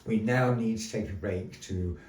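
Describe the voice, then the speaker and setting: A man acting as master of ceremonies at a live show, polite and halting, with a mock-flustered theatrical patter. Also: fairly low pitch, darkish tone. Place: indoor gallery performance space. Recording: roomy live venue, distant mic, faint noise.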